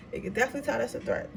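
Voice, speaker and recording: A woman in her twenties with a raspy voice, chatting casually and rambling informally as she gives a tarot reading. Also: a little high. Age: 20s. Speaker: tarot reader. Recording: noisy room, voice a bit far from mic.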